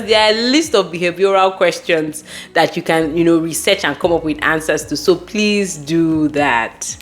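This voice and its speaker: A woman from Nigeria with a Nigerian accent gives casual advice to viewers as a host, speaking emphatically and repeating key words. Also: medium pitch. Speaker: host giving career advice.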